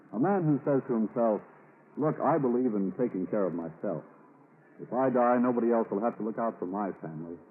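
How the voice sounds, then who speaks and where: A man announcing in formal, measured and emphatic 1940s radio style, reading a scripted sponsor message. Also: mid-range voice, slight background noise. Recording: narrow, band-limited 1940s radio recording.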